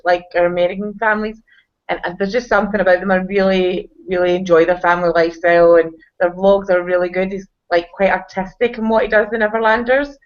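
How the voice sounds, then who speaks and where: A woman guest chats on a live video call, enthusiastic and quick to giggle. Her voice is at an average pitch for a woman. The sound is dull and lo-fi, like call audio. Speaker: guest vlogger. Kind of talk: casual live video chat. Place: hall.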